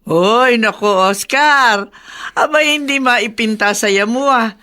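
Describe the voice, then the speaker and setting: A woman's voice: on the high side, slow, bright, forceful tone. A woman playing a chatty, inquisitive older neighbour in a Filipino radio drama, with a Filipino accent. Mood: cheerful, chatty.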